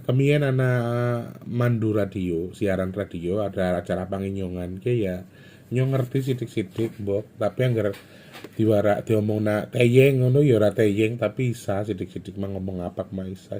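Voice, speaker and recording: A man talks in a low, warm voice with a faint hiss of noise behind him. He rambles casually to his viewers and drops into phrases as he goes. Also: normal speed. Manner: loose and chatty, slipping into Javanese phrases. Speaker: spiritual content vlogger.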